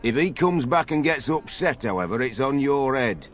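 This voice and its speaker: A man's voice: mid-range voice, animated, moderate pace, lightly acted. A man playing a brusque, businesslike innkeeper in a video game, speaking in a curt, matter-of-fact way.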